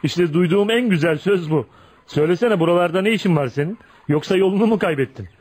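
A man's voice: high-pitched, fast, a little mellow, close to an everyday voice. An actor playing a feared, ugly but kind-hearted tough man, blunt and probing, in a Turkish radio play. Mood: hearty, curious. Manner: blunt, probing, folksy, punctuated with "ha?". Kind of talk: Turkish radio theater acting.

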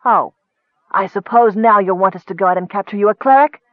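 A man's voice: sits high, fairly quick, no echo, pitched above the performer's own voice, lightly acted. A man voicing a bitter, greedy male goblin chief who sneers and hisses his demands. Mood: sneering. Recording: tinny phone-like dry sound.

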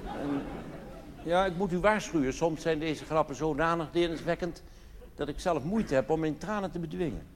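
A man's voice: fairly high pitch, normal speed. Theatrical male cabaret comedian in a reverberant hall. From Netherlands. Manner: theatrical, mock-solemn, rhetorical questions. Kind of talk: live stand-up cabaret monologue with anecdotes. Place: theatre stage in a large hall. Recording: reverberant hall live recording with noise.

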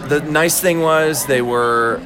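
A man being interviewed as a voice actor, chatting casually and explaining his craft in a halting, thoughtful way. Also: fairly high pitch, crowd noise. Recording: convention recording with loud background music.

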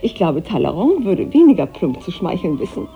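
An actress playing an alluring, witty Parisian mistress in a German radio play, coquettish and ironic. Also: female voice, fairly low pitch, very animated, normal speed, creaky, lo-fi sound, soft background music. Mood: teasing.

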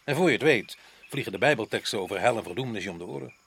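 An actor playing a sardonic, outspoken atheist colonial official in a radio play, mocking and argumentative. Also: male voice, lowish voice, expressive, rapid-fire, vocal fry, a bit bright, slight background noise. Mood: scornful, defiant.

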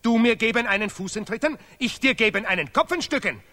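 A vain, pompous artist declaims in a stylized radio play. His voice is high and bright, with big swings of pitch. He hammers out broken, repeated phrases with great self-importance. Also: expressive, fast, exaggerated voice. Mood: quarrelsome, heated. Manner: declamatory, emphatic, chanting broken German with repetitions. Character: pompous male Nobel laureate artist, vain and touchy. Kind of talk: stylized avant-garde radio play acting.

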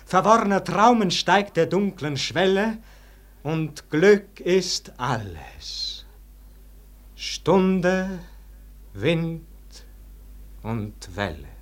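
Slow, solemn, world-weary nobleman. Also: high voice, big pitch swings, full character voice. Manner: solemn, theatrical, measured declamation swelling and falling to hushed tones. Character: weary, disillusioned nobleman brooding over an unlived life. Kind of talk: classic German radio verse drama, declamatory stage delivery.

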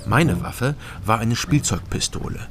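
A man's voice: medium pitch, lively intonation, measured pace, background noise, lightly acted. An actor playing a laconic ex-policeman turned private detective, narrating a radio drama in a dry, matter-of-fact way.

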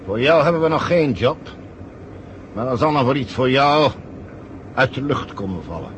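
Male actor as commanding conspirator, medium-pitched voice, sly, smug, calm, noisy old radio drama track. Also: normal speed, lightly acted. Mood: sardonic, insistent. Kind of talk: 1970 radio play acting.

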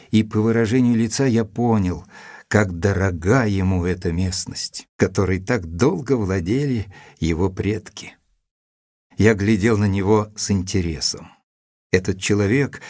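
An actor playing an observant gentleman doctor, narrating his adventure in measured, literary fashion for an audio drama. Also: on the low side, no room sound, clean studio sound. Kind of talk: scripted first-person narration in audio drama.

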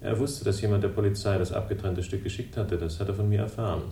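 An actor playing a dry, bitter investigator in a radio crime drama, laconic and sardonic. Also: male voice, fairly even intonation, fast talker, vocal fry, mellow, a little hiss or hum. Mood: wary, restrained. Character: dry, bitter male investigator, quietly hostile. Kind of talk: 1960s German radio crime drama acting and narration. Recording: roomy, distant, faintly rumbling radio recording.

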